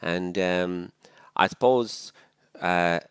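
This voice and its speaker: A man's voice: low voice, extremely slow, fairly bright tone, smooth, no echo, clean studio sound. A man in his sixties with a Dublin Irish accent, a priest being interviewed, talking reflectively with many fillers and restarts. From Dublin, Ireland. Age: 60s. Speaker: priest guest.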